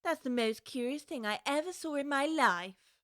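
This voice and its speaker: A prim, earnest young girl, polite and curious as she wonders aloud in a storybook. The voice is high and bright, recorded dry and close with no room around it. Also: animated, normal speed, no room sound. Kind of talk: full-cast audiobook acting.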